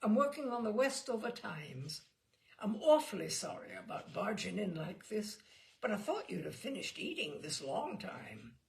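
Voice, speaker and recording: A woman's voice: mid-range voice, big pitch swings, normal speed, vocal fry. A woman voicing a small young male reporter from a storybook, with a Glasgow accent, chatty, apologetic and persistent. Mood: eager, polite. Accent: Glasgow Scottish. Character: small young male newspaper reporter, eager, agreeable, pushy.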